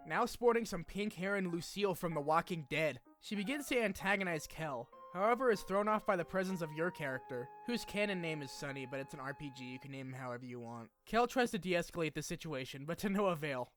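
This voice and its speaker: A man with a slightly high voice narrates a game recap over music. His delivery is scripted but informal, slipping into wry, slangy asides. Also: a bit bright. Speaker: video essay narrator. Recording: music under the voice.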